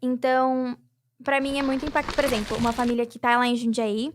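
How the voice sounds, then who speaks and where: A woman's voice: fairly high pitch, flat delivery, fairly quick, a little hiss or hum. A young Brazilian woman, guest and charity president, talking reflectively in a casual interview with many filler tags. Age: young adult.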